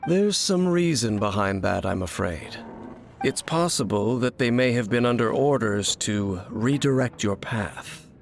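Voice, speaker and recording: An actor playing a mysterious, guarded wandering swordsman who speaks in a measured, solemn and formal way. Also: medium pitch, animated, gravelly. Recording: game audio with soft music.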